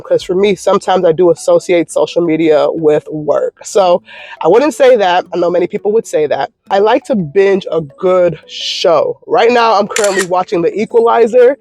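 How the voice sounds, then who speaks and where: A woman's voice: fairly low pitch, darkish tone, dry recording. A woman in her thirties from Michigan, chatting through a casual question-and-answer vlog from her parked car in an upbeat, encouraging way. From Michigan, United States. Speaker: YouTuber. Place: parked car in a parking lot.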